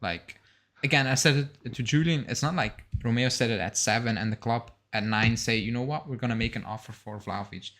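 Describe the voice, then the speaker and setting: A young man talks informally as the co-host of a sports live stream. His voice sits at an average male pitch, and he moves between reading comments aloud and giving his own casual reactions. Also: brisk, a bit bright. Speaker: co-host of a football fan live show.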